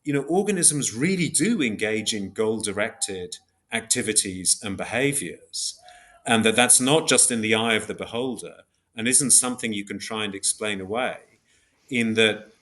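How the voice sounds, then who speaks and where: Guy with a lowish voice doing academic explaining in an interview, careful n a bit hesitant, lots of examples. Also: lively intonation, moderate pace, fairly bright tone. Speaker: podcast guest, philosopher of biology.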